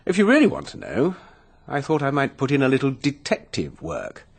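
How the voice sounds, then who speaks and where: Self-assured Englishman, husky, lively intonation, flippant, smug, radio mystery drama. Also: mid-range voice, normal speed, heavily acted. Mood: breezy, sly. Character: breezy, smug upper-middle-class English cousin.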